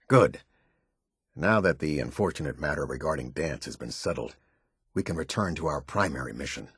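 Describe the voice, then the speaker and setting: An actor playing a stern, authoritative military captain in a video game, middle-aged, speaking formally with an American accent in clipped, measured orders. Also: male voice, deep voice, husky, dry recording, clean studio sound, full character voice. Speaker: military captain giving briefings. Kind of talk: scripted video game dialogue.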